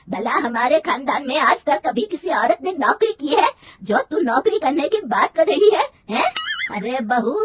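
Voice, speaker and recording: A woman doing a shrill, bossy old mother-in-law. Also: noisy background, natural voice. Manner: scolding, shrill and loud. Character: stern older mother-in-law, bossy and quick to scold. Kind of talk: animated moral story voice acting.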